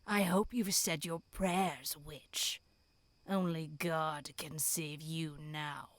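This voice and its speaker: A woman's voice: deep voice, steady tone, slow pace, no echo, voice pushed down. A woman voicing a reluctant, guilty village husband and father, hesitant and apologetic, in a horror roleplay. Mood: grim. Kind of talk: dramatic horror roleplay acting.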